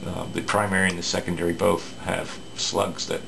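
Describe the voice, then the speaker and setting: A man's voice: deep voice, little pitch movement, moderate pace, vocal fry, background noise. A man talking casually at his workbench, explaining a radio repair with many fillers and restarts.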